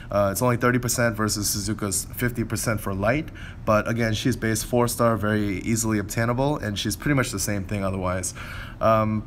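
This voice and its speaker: A man's voice: on the low side, slight background noise. A man giving casual, unscripted game walkthrough commentary in a conversational way, often hedging and correcting himself. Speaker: game video creator.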